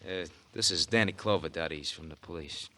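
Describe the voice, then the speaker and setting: A breezy young husband in an old radio crime play. His voice is lowish, bright and forceful, with a sardonic edge. There is faint noise on the vintage recording. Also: brisk. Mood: wry. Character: glib, wry young husband, former murder suspect. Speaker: actor in a radio crime drama. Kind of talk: 1950 radio crime acting.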